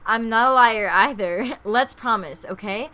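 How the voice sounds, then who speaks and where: A woman's voice: on the high side, a little slow, bright, forceful tone. A woman co-hosting a let's play in casual, playful chat, with a dramatic, exclamatory way of talking. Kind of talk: casual chat, playful reading of character lines. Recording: faint background noise.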